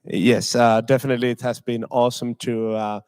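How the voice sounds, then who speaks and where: A man's voice: medium pitch, level tone, fairly quick, a little mellow, clean tone. A young man from Finland moderating a streamed student panel, hesitant with many filler sounds. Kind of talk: panel discussion on a livestream.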